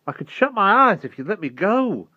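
A man's voice: low voice, moderate pace, fairly bright tone, dry recording, lo-fi sound. A man voicing an anxious, earnest young boy in a storybook, with a pleading, honest manner. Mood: reassuring.

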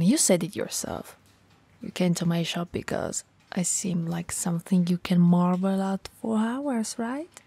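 A woman playing a tall, cool, flirty shop owner in a soft, breathy, intimate ASMR roleplay. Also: medium pitch, no echo. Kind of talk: ASMR romantic roleplay acting. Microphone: close mic, dry.

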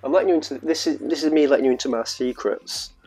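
A man's voice: mid-range voice, quick pace, a little mellow, slightly rough, faint music behind. A young man with a Northern English accent, chatting playfully to camera in a vlog, rambling with fillers and asides, on a lapel mic in a small room. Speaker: vlogger. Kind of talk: casual chat to camera. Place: small room at home, later outdoors in a park.

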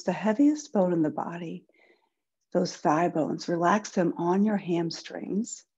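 A woman leading a guided body-scan meditation in a soft, breathy voice, gentle and soothing, with unhurried pauses. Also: on the low side, muffled recording. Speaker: guided relaxation instructor.

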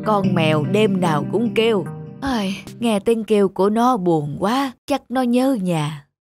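A woman voicing a kind, lonely old man in an animated drama, tender and sympathetic. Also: mid-range voice, measured pace, music in the background, natural voice. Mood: tender pity.

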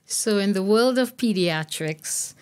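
An older woman gives measured, explanatory answers as a pediatrician guest in a conversational interview. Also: mid-range voice, clear, resonant tone. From Trinidad and Tobago. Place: small indoor podcast studio. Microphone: boom-arm podcast microphone.

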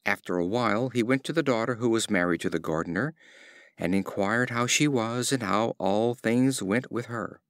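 A man narrating fables as an audiobook reader, in an expressive storytelling style. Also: fairly low pitch, normal speed, no room sound, clean studio sound.

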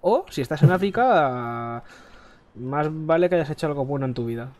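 A man from Spain chatting while he plays, rambling and crude, keeps clearing his throat, speaking into a headset mic. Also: fairly high pitch, lively intonation, moderate pace, a little mellow. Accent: Spain Spanish. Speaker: gaming streamer. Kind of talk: casual gameplay chat. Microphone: headset mic close to the face.

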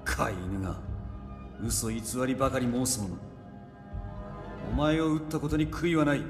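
A man's voice: medium pitch, expressive, normal speed, echoey room, over music, close to an everyday voice. A man playing an earnest, resolute samurai in a period video game, with formal, archaic, intense speech. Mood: defiant. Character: earnest, resolute samurai warrior bound by duty.

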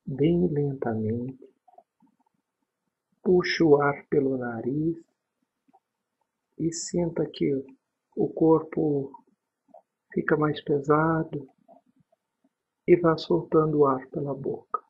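Slow, husky Brazilian man guiding meditation. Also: a little high. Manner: calm, unhurried, pausing between short phrases. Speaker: guided meditation narrator. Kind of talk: guided sleep meditation, soothing instruction. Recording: dull, muffled lo-fi recording.

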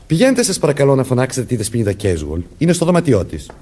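An actor playing an authoritative police sergeant in a Greek radio play, speaking formally and deliberately while questioning suspects. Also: male voice, mid-range voice, big pitch swings, dark tone, breathy edge. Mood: stern, commanding. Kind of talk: Greek radio theatre acting.

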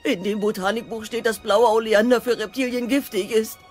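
A man's voice: high-pitched, steady tone, a little fast, soft background music, lightly acted. A man playing a bookish, anxious teenage Viking boy, pedantic and precise, in a cartoon audio drama. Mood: earnest explaining. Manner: precise, nerdy, reciting.

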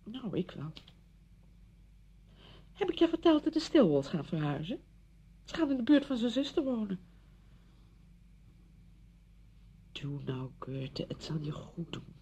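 An actress in a Dutch radio play, playing a brisk, patronising woman who fusses chattily over a widowed friend. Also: big pitch swings, dark tone. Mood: apologetic. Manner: chatty, fussing, condescending. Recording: dull radio recording with rumbling noise.

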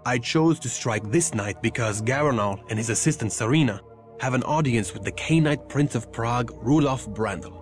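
A man's voice: medium pitch, moderate pace, lightly acted. Grave stern vampire warrior guy male actor, old timey solemn speech, video game, bit of music behind. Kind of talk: theatrical video game acting.

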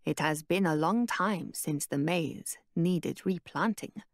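Woman doing a calm, cryptic old woman. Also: deep voice, takes it slowly, dry recording, studio quality, heavily acted.

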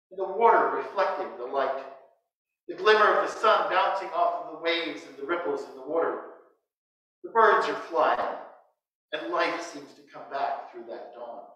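An American man in his sixties preaching a sermon as a priest, in measured short phrases with pauses, speaking through a face mask inside a church. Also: fairly high pitch, pushed and bright. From United States. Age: 60s. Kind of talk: sermon read from notes. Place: inside a church, standing before the altar. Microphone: distant microphone, speaking through a face mask. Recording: very echoey church, distant mic.

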